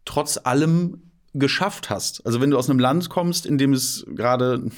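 Podcast co-host, Paris-born man, mid-range pitch, talkative but halting with ähs. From born near Paris, raised by German parents. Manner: chatty, with many hesitations and fillers. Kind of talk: casual chat.

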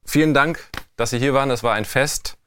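A man's voice: medium pitch, a little fast, a bit bright, a little hiss or hum. A man hosting a podcast interview, putting prepared questions to his guest.

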